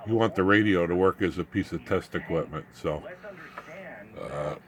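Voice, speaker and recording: A man giving an informal, unscripted electronics demonstration, haltingly, muttering asides as he works with his hands. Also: low-pitched. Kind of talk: informal unscripted technical show-and-tell.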